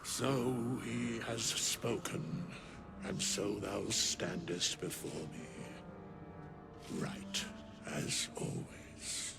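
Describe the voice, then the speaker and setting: Ancient, gravelly sage. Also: male voice, lowish voice, very slow, music in the background, full character voice. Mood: solemn. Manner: archaic, ponderous, formal. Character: ancient, solemn male undead sage. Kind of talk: fantasy video game acting.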